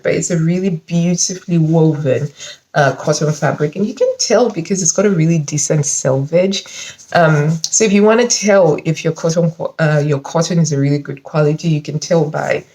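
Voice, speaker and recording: Brief: enthusiastic sewing vlogger, slightly bunged-up voice, lower-pitched, echoey home room. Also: female voice. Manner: chatty, enthusiastic, animated with frequent laughs. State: slightly congested from hay fever. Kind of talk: casual fabric haul chat. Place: living room at home, indoors. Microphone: camera mic at close range.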